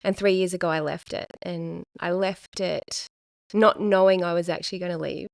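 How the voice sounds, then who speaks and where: A middle-aged woman, talking casually and reflectively as a podcast guest, pausing often. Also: fairly low pitch, fairly even intonation, gravelly, no echo, studio quality. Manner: soft-spoken, reflective, with many pauses and fillers. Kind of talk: casual chat.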